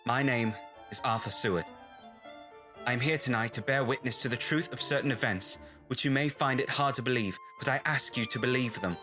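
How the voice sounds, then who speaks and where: An actor playing a solemn, formal gentleman who presents testimony in a measured, old-time radio drama style. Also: medium pitch, soft background music, natural voice. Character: grave, formal gentleman bearing witness to strange events.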